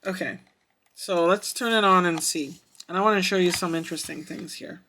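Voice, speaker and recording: Gadget reviewer, bright forward voice, medium pitch, informal hands-on demo delivery, thinks out loud. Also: moderate pace, slight background noise. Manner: hesitant, thinking aloud. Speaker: radio reviewer. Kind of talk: casual product demonstration.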